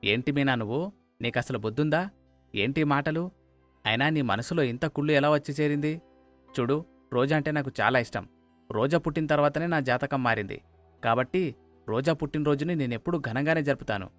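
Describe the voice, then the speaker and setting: A man's voice: mid-range voice, brisk, pauses often, faint music behind, close to an everyday voice. A man voicing a middle-aged father who indulges his favourite, emphatic and paternal, in a cartoon moral story. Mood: scolding.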